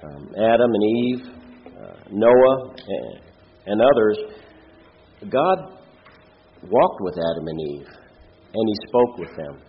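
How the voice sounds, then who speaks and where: A man with a head cold and a nasal, congested voice gives an informal sermon as a lay preacher, in a halting, plain-spoken way. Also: on the low side, sing-song, narrow, tinny audio. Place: church, speaking before a congregation.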